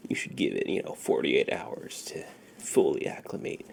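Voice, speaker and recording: A man speaking softly and breathily, often whispering, in an unhurried, reassuring ASMR roleplay as a friendly dealer. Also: extremely low pitch, creaky, slight background noise. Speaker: ASMR performer. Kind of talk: soft-spoken ASMR roleplay.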